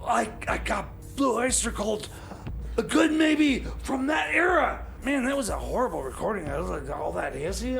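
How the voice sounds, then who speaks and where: A man in a comedy radio show, excitable and stammering, often breaking into shouts. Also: very high-pitched, moderate pace, vocal fry, a little hiss or hum. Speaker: comedy co-host.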